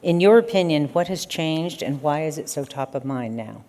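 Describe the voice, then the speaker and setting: A middle-aged woman moderates an onstage conference conversation. She reads prepared questions in a formal way, and her voice sits very low for a woman. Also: lively intonation, normal speed, dark tone. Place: conference stage.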